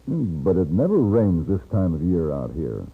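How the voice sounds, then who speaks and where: A man's voice: deep voice, very animated, normal speed, slightly rough, exaggerated voice. A man playing a wry, self-assured American gunfighter in a 1950s radio western. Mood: easygoing. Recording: tinny old radio recording.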